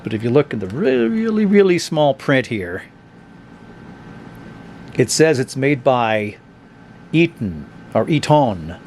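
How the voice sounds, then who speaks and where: A man hosting a casual, unscripted product review, talking in a hesitant, halting, conversational way. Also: mid-range voice, a little hiss or hum. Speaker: host of a vintage radio review channel.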